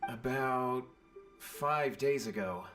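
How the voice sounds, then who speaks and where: A man's voice: medium pitch, takes it slowly, over music, lightly acted. A man playing an anxious young commoner in a video game, speaking in an earnest, deferential, pleading manner. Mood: worried, earnest.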